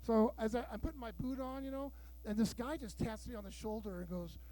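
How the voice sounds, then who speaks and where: High-voiced man doing bawdy stand-up. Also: a little fast, rough recording. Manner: loud, bawdy storytelling with acted-out dialogue. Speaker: stand-up comedian. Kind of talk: stand-up comedy set. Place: live show room with audience.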